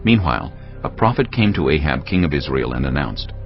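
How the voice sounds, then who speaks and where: A man's voice: extremely low pitch, big pitch swings, fairly quick. A man narrating a dramatised Bible story as a scripted reading. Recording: dull lo-fi audio with music. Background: background music.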